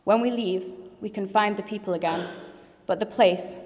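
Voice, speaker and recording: A woman's voice: medium pitch, normal speed, darkish tone. A young woman in her late teens, a graduating student, giving a heartfelt formal graduation speech with a few light jokes in a large assembly hall. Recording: long reverb of a large hall.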